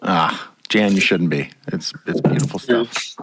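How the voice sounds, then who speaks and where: A man's voice: sits low, measured pace, very noisy. A man hosting a podcast interview, chatty and encouraging toward his guest. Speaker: podcast host. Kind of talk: interview conversation.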